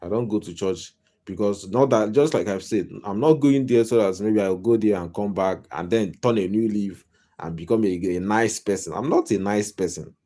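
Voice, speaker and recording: A man's voice: lowish voice, mellow. A man with a Nigerian accent giving a casual live-stream monologue as a life coach, rambling and repeating himself with wry humor. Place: home.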